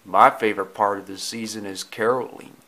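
A man's voice: on the low side, steady tone, a little fast, pushed and bright, pitched below the performer's own voice. A man voicing a friendly, folksy male dog, a courteous family friend in a story. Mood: genial.

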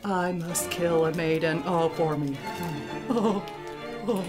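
Lady doing a gloomy moany grim reaper puppet, lowered voice, melodramatic, music behind. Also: steady tone, takes it slowly. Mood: mournful, reluctant. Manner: moaning, melodramatic. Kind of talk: theatrical live puppet-show comedy.

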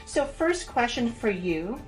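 A woman's voice: fairly high pitch, sing-song, takes it slowly, soft background music. A woman news anchor reading and interviewing in a formal broadcast style.

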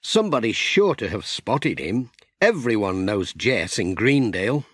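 Man voicing kindly unhurried village postman. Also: on the low side, big pitch swings, fairly bright tone, husky, no echo, studio quality, heavily acted. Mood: helpful.

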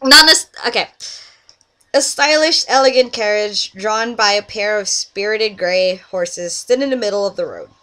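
A woman's voice: mid-range voice, normal speed, pushed and bright. A young Canadian woman on a headset mic in her bedroom, chatting casually through a list of words and questions, often giggling. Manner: giggly, chatty, breaks off to scold friends on a call. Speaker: vlogger doing an accent meme. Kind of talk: casual webcam vlog. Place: small bedroom indoors. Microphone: headset microphone.

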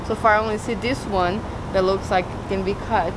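A woman's voice: medium pitch, fairly even intonation, a little fast. A young woman giving a casual walk-through tour of her garden plot, chatting as she points out plants outdoors. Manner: chatty, walks and points at plants while talking. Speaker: gardening vlogger. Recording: outdoor street noise behind.

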